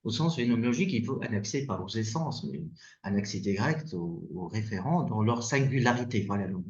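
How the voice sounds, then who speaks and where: A man from Tunisia who wrote the book, discussing philosophy in an animated way while searching for his words. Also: fairly low pitch, brisk. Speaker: philosophy author leading the discussion. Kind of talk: academic discussion. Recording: echoey, dull lo-fi sound.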